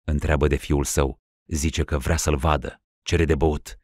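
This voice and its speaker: A hushed nobleman peering through a door and reporting in a low voice, as a man puts him on. Deep, soft and dry. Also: fairly even intonation, dry recording. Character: hushed male boyar watching at a door.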